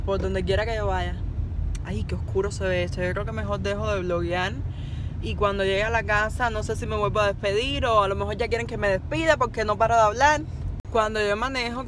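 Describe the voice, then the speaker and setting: A young woman from Cuba with a Cuban Spanish accent, chatting casually to her phone camera while driving, rambling and upbeat. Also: mid-range voice. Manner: rambling, upbeat and encouraging, laughs at herself. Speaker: vlogger. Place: inside a car, parked then driving at dusk. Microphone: phone camera held at arm's length. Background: faint car and road noise.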